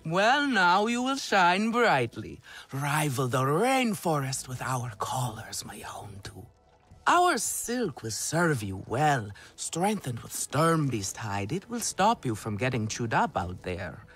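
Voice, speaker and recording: Game role: flamboyant, teasing forest-clan clothier, slow delivery, highly animated pitch. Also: male voice, slightly rough, faint music behind, heavily acted. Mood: proud, amused. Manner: theatrical, teasing, exclaiming and laughing. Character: jovial, theatrical male alien clothier of a forest clan. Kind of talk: video game cutscene acting.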